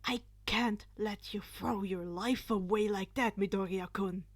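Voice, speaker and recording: A woman's voice: mid-range voice, unhurried, lightly acted. Woman as a studious teenage boy, stiff, fretful, exasperated, firm delivery, story reading. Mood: stern.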